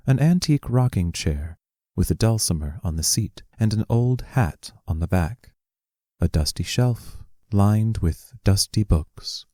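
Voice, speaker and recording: Deep, mellow man reading stage directions. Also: no echo, clean studio sound. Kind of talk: scripted narration of an audio play.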